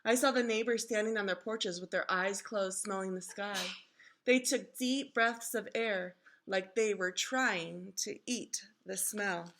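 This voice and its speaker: A woman reading a children's storybook aloud in an expressive, instructive way, like a teacher engaging young listeners. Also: mid-range voice, measured pace.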